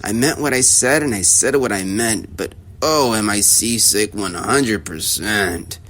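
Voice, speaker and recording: Gentle loyal elephant guy, slow and earnest, storybook, man reading it. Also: lowish voice, pitched below the performer's own voice. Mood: weary. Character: faithful gentle male elephant, steadfast and earnest.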